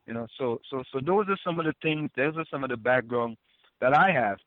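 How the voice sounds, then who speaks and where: A man's voice: medium pitch, lively intonation, fairly quick, dry recording. A man in his sixties from Trinidad and Tobago, a former Wall Street compliance officer, talking earnestly as an interview guest and often repeating words as he restarts. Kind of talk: casual interview talk. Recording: phone-line call, dry.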